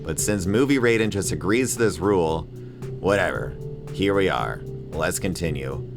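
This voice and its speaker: A man's voice: low-pitched, expressive, moderate pace, over music. A man hosting a movie review video, giving comedic commentary in a wry, mock-exasperated way with chuckles.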